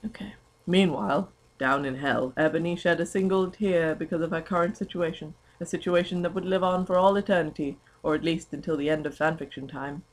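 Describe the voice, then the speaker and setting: A woman's voice: low-pitched, a little fast, poor audio. A young woman giving a comic, mock-dramatic amateur reading of fan fiction, prone to giggling.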